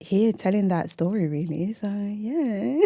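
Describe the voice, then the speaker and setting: A woman's voice: medium pitch, animated, unhurried, mellow, no echo, studio quality. A woman in her early thirties chatting casually as a guest on a podcast. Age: early 30s. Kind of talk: casual chat.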